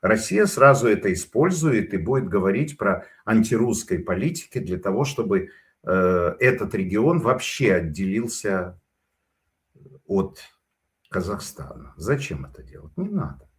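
A man talks in a low voice that swings up and down with animation. He tells rambling anecdotes and stops often to hesitate. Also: a little slow. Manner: animated, digressive storytelling with frequent hesitations. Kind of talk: casual spoken commentary.

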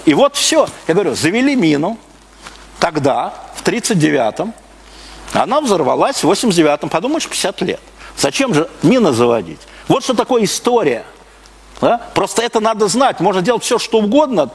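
A man from Russia giving a live public lecture, speaking emphatically with repeated phrases, rhetorical questions and broken-off sentences. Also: fairly high pitch. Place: live talk before an audience. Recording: clearly noisy live recording.

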